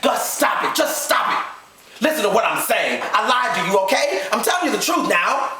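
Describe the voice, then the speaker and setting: A man voicing a desperate, guilty Southern wife and mother who begs and pleads in a breathy voice. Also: a little high, quick pace, faint music behind, voice pushed up, natural voice. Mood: desperate confession. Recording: roomy, distant mic, faint noise.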